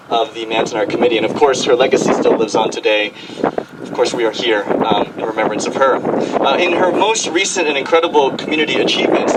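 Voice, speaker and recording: A man in his thirties giving an informal award introduction from a windy outdoor stage, speaking haltingly. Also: medium pitch, distant mic. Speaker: master of ceremonies. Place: outdoor stage in strong wind. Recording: windy outdoor stage mic, loud wind noise.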